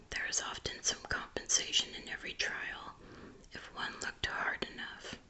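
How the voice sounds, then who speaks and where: A woman reads a story aloud entirely in a whisper. Her voice is breathy and unvoiced, with a very bright, crisp hiss to it. The delivery is that of ASMR storytelling. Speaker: audiobook reader.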